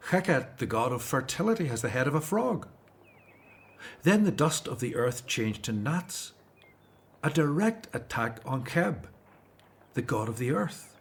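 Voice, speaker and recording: A man acting in a radio drama as an earnest, devout Hebrew prophet and leader, speaking in a solemn, measured way. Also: mid-range voice, sing-song, vocal fry, slightly rough, exaggerated voice. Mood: earnest, grave.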